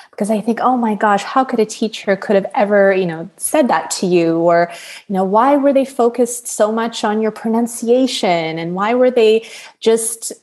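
A woman's voice: mid-range voice, darkish tone. Chatty woman trainer. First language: English. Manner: chatty, with many fillers. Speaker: business English trainer. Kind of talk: conversational interview answers.

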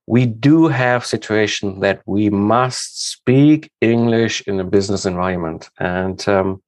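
A man's voice: mid-range voice, no echo. German-accented man hosting an interview. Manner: halting, searching for words. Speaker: podcast host.

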